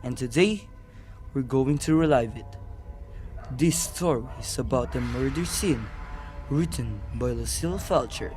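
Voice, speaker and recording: A man's voice: medium pitch, measured pace, a little mellow, faint music behind. A man hosting a radio show as DJ, presenting from a script. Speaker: radio DJ host.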